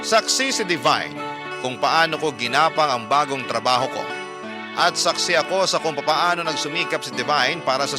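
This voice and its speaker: Male radio storyteller over music. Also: medium pitch. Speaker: radio storyteller reading a listener's letter. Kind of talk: scripted first-person story narration. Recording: clear music bed under the voice.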